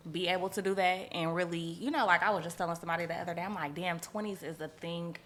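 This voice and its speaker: A woman in her thirties, a podcast guest, chatting quickly and agreeably in a casual conversation. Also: lowish voice, fairly even intonation, a bit bright, a little hiss or hum. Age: 30s. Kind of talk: casual chat.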